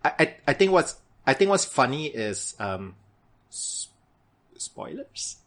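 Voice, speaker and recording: A man's voice: mid-range voice, big pitch swings, slow pace. A man hosting a podcast, talking casually and steering the chat with questions. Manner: laid-back, prompting questions.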